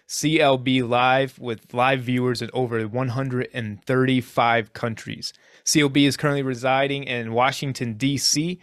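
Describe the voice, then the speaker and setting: A man's voice: medium pitch, fairly bright tone, dry recording, clean studio sound. A man hosting a podcast interview, speaking earnestly and appreciatively, with frequent restarts. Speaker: podcast host.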